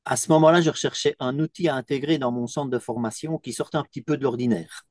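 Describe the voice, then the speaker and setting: A man's voice: medium pitch, quick pace, a little mellow, no room sound, studio quality. A man from Belgium who runs a team coaching business, speaking in an interview testimonial.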